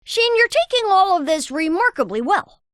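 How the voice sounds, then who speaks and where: High-pitched, brainy cartoon boy inventor. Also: sing-song, normal speed, no room sound, clean studio sound. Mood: wry, reassuring. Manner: precocious, wordy scientific phrasing. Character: brainy, upbeat boy inventor, earnest and confident. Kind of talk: animated video game voice lines.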